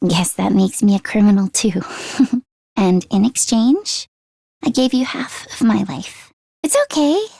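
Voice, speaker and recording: High-pitched, emotive teenage girl game heroine. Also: vocal fry, dry recording, exaggerated voice. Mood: soft, tender. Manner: expressive and emotive, with sighs and gasps. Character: earnest, excitable teenage girl fighter, caring and anxious. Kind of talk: English video game voice acting.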